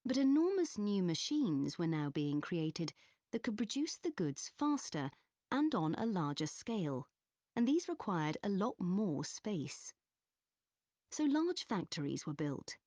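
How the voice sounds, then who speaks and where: Female history student, scripted presentation, measured explaining, animated intonation, dry close sound. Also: mid-range voice, no room sound. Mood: explanatory, composed. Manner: measured, clearly structured explaining. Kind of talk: scripted academic presentation in an exam listening recording.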